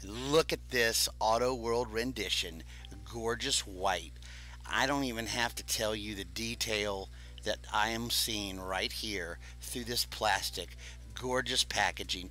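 A man hosting a live toy car auction, pitching enthusiastically and telling stories about the models. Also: pushed and bright, slight background noise, poor audio. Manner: enthusiastic, storytelling sales talk. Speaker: auction host.

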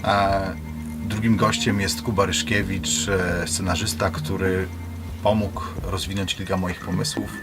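A man with a low voice presents his creative project. His phrasing is hesitant and broken. Prominent music runs behind his speech. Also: little pitch movement, measured pace.

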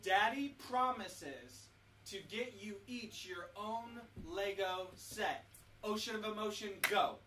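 A woman's voice: mid-range voice, lively intonation, slow. A woman hosting a casual family livestream, giving children short coaching instructions like a drama leader. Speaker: host leading a family Bible activity. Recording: noisy, roomy sound, distant mic.

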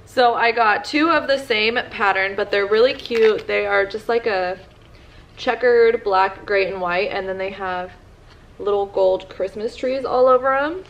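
A woman's voice: mid-range voice, measured pace, highs cut off, a little hiss or hum. Young woman vlogger, casual haul chatter, talks to a camera in her living room. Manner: chatty, lots of "like" fillers. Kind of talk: casual shopping haul chat.

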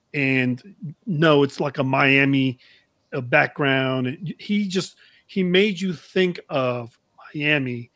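A middle-aged man chatting casually as a podcast co-host, often pausing mid-thought, on a close mic in a room. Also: on the high side, fairly bright tone, no echo. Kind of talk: casual chat. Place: indoor room, on a video call.

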